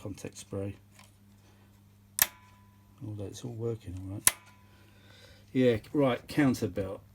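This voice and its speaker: A man's voice: lowish voice, slow, gravelly, background noise. A British man giving casual, unscripted repair commentary in a rambling, grumbling, blunt way. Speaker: host of vintage audio repair channel.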